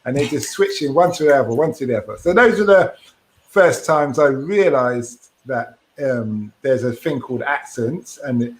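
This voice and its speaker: A man's voice: medium pitch, expressive, moderate pace, rough recording. A man co-hosting a podcast, chatting casually and thoughtfully over a video call, often restarting his phrases. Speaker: podcast co-host.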